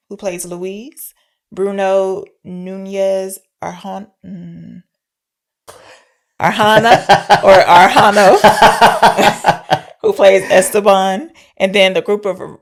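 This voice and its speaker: A woman's voice: mid-range voice, fairly even intonation, extremely slow, pauses often. A woman co-hosting a podcast, casually and playfully discussing films. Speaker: podcast co-host.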